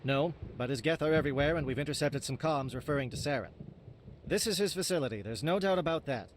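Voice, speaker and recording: A stern alien captain from a video game voiced by a man, speaking quickly in a slightly high male register. He is formal and resolute, briefing in clipped, urgent military phrases. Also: expressive, slight background noise, close to an everyday voice, poor audio.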